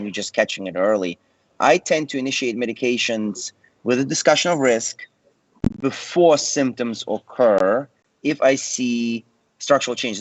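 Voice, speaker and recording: A man's voice: mid-range voice, no echo. Confident man on a muffled call. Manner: confident, conversational, joking asides. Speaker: cardiologist panelist. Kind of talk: panel discussion. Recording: muffled dry video call audio.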